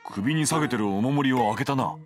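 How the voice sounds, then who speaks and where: A man's voice: medium pitch, fast talker, natural voice. An actor playing an easygoing, amused male friend in an anime comedy, casual and teasing. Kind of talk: Japanese anime comedy voice acting. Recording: music playing behind the voice.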